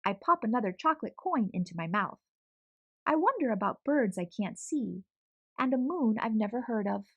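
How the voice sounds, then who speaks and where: Expressive woman reading a children's story. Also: a little high, moderate pace, dry recording, lo-fi sound. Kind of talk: children's read-aloud.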